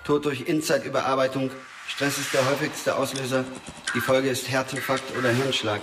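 Actor as impassive data-reciting informant, echoing and distant. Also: male voice, mid-range voice, flat delivery, moderate pace, close to an everyday voice. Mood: flat, procedural. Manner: clipped, impassive, database-like recitation. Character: Quick, odd male informant reciting data like a terminal. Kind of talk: German radio crime drama acting. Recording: roomy, distant, noisy with clicks.

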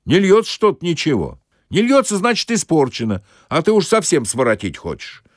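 A man's voice: a little high, huge pitch swings, normal speed, no echo, studio quality, voice pushed up. A man voicing a bossy bathhouse attendant who barks and scolds, in a comic audiobook. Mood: exasperated. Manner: barking, scolding.

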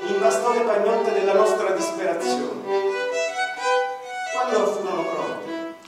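Man, fairly high voice, declaiming a bitter monologue for radio theatre; picked up from a distance in a very roomy space with violin behind. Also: measured pace. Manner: declamatory, bitter and mournful, with sharp swings in loudness. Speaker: narrator of a radio play. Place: theatre stage. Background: violin music.

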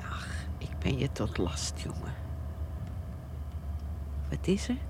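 A woman's voice: rumbling low, wildly swooping pitch, takes it slowly, warm tone, whispered, full character voice. An older actress with a Netherlands Dutch accent playing a frail, stubborn, anxious old farm mother in a radio play. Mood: worried. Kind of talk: radio drama acting. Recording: radio play with clear background noise.

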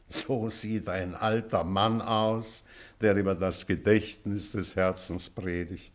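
Slow, weary old schoolteacher. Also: male voice, low voice, fairly even intonation. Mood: rueful. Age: older. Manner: deliberate, pensive, measured delivery with weighty pauses. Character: weary, remorseful old male schoolteacher, reflective and wry. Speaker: actor. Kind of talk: German literary stage drama.

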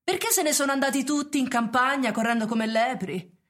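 High-voiced, hard-line police chief. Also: female voice, a little fast, fairly bright tone. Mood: insistent, scornful. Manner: insistent, argumentative. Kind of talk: radio drama dialogue.

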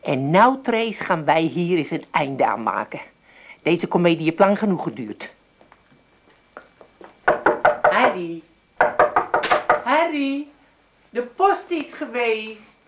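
A woman's voice: lowish voice, very animated, slow pace, pauses often, heavy background noise, full character voice. A woman playing a bossy, fussing, exasperated sister in a comic radio drama, scolding. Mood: determined, scheming.

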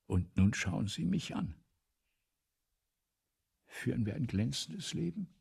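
An actor playing an ailing, embittered, self-dramatizing playwright in a German radio play, speaking theatrically in rhetorical repetitions, with a husky, gravelly voice that drops to whispers. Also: male voice, mid-range voice, very animated, slow, exaggerated voice. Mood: bitter. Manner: theatrical, rhetorical, building repetitions then sudden hushes.

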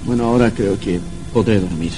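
An actor playing an evasive, aloof husband with a halting, guarded delivery in an old radio horror drama. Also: male voice, on the low side, noisy background, lightly acted.

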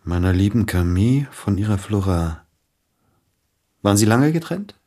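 A melancholy concert pianist in a radio play, brooding over a lost love. His voice is low and breathy, and he speaks slowly and haltingly when the thoughts weigh on him. The delivery is intimate and full of self-doubt. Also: expressive, warm tone. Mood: curious, doubting. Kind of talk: German radio drama acting, intimate dialogue.

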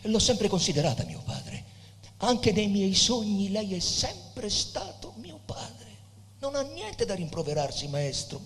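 An actor playing a weary, reverent dying poet in a theatrical reading, declamatory yet hesitant, with phrases that fade toward whispers. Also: male voice, sits high, slight background noise, exaggerated voice. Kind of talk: theatrical monologue with narration, live literary stage reading. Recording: reverberant hall with music.

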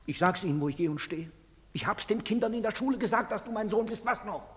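A man's voice: high-pitched, animated, fast talker, a bit bright. An actor playing a desperate, guilt-ridden middle-aged father in a theatrical drama, urgent and imploring. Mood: pleading. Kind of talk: theatrical radio drama acting. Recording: long hall reverb.

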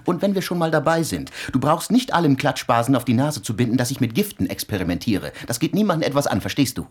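Irritable secretive scientist, husky, swinging intonation, defensive, radio drama. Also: male voice, mid-range voice, sing-song, quick pace. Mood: angry, defensive. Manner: snappish, defensive. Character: irritable, secretive, defensive male poison researcher. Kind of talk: German radio play acting.

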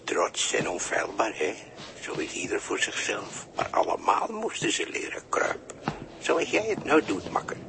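A man's voice: on the low side, wildly swooping pitch, moderate pace, gravelly, exaggerated voice. A man acting a cryptic, mocking sailor who preaches philosophy, in a 1960s radio drama. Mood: taunting, preaching. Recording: noisy 1960s radio recording.

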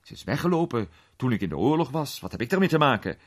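A man's voice: mid-range voice, big pitch swings, fast talker, pitched above the performer's own voice. A man voicing an agitated, indignant storyteller recounting a frightening adventure in a dramatized story reading. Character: Varden, agitated man recounting his frightening escape as anecdote.

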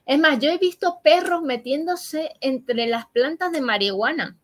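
A woman hosts a comedy radio chat, her voice a touch higher than most. She talks casually and keeps throwing quick questions at her guest. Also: slight background noise. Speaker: comedian host. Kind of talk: casual radio show hosting.

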